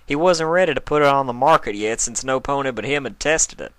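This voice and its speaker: Man voicing a folksy, higher-pitched farm mare. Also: fairly quick, a bit bright, no room sound, lightly acted. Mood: indignant. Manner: folksy country phrasing. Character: folksy, frank, reassuring female farm pony.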